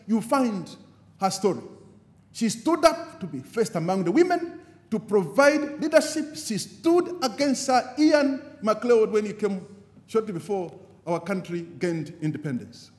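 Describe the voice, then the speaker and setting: High-voiced man preaching in a hall. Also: a little mellow. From Zambia. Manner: preaching cadence, rising on key words and dropping low at phrase ends. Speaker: guest speaker at a party convention. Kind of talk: impassioned convention speech. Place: large convention hall. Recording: long hall reverb from a convention venue.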